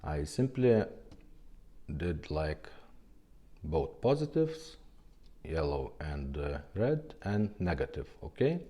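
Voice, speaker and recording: A man who speaks English as a second language, giving an informal hands-on tutorial in a halting way, often checking in at the ends of phrases. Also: rumbling low, big pitch swings, dark tone. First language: not English. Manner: halting, repeatedly ending phrases with a checking "okay?". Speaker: video tutorial host.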